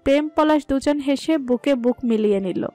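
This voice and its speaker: A woman narrating a romantic family story in a calm, even manner. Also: high-pitched, moderate pace, dry recording. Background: soft music.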